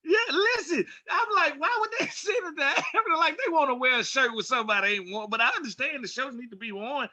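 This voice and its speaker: A man's voice: very high voice, fast talker, few pauses, dry recording. A man co-hosting a casual sports talk show as a former player, telling stories emphatically and acting out the dialogue. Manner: animated storyteller, acts out quoted dialogue, emphatic.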